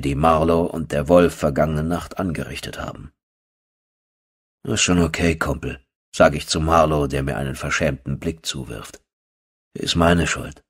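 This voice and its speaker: A man narrating a first-person horror audiobook as a storyteller. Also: low-pitched.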